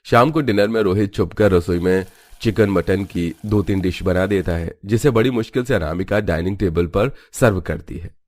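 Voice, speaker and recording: A man's voice: sits low, moderate pace, darkish tone, no room sound. A man narrating a Hindi family cartoon story in a dramatic storytelling style.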